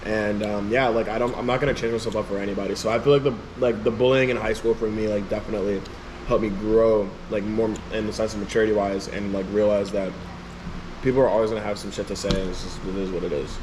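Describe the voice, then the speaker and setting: A young man from Chicago, a musician guest, chatting casually in an interview. He gets animated, laughs often and leans on "like" a lot. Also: on the low side, quick pace, noisy background. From Chicago, United States.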